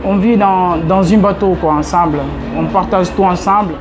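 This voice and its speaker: A man's voice: high-pitched, fairly even intonation, normal speed, music in the background. A man from Guinea with a Guinean French accent answering hesitantly, with many fillers. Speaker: interviewee. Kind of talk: street interview answer.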